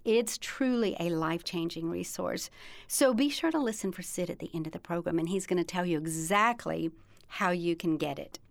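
A woman's voice: on the low side, normal speed, gravelly, no echo. A woman with a Southern American accent hosting an interview, enthusiastic and speaking straight to listeners. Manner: enthusiastic, addresses listeners directly. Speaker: talk show host. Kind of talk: interview host.

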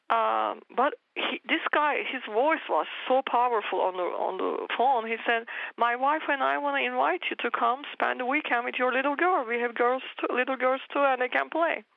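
Interview guest: woman, Turkish native speaker, earnest testimony, gravelly voice, dull lo-fi sound. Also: mid-range voice, no room sound. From Turkey. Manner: earnest storytelling with frequent restarts and laughs.

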